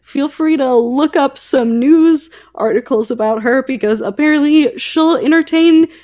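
A woman's voice: sits high, measured pace, warm tone. A woman co-hosting a podcast in casual chat, with a playful, wry way of talking. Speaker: podcast co-host.

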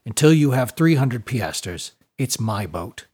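A man voicing a gruff, stubborn fisherman of few words in an audiobook, curt and low-key. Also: big pitch swings, slow pace, a little mellow, exaggerated voice. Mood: stern.

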